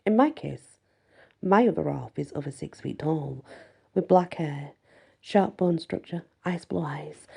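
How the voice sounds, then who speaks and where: A woman's voice: low-pitched, creaky, mellow, breathy edge. A woman reading a first-person fantasy story aloud as ASMR-style narration. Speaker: story reader.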